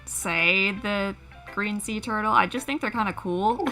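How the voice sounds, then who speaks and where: A woman's voice: mid-range voice, unhurried, pushed and bright, faint music behind, rough recording. A woman chatting casually on a livestream, giggly and amused at herself. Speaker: livestream panel guest, fan story creator. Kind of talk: casual chat.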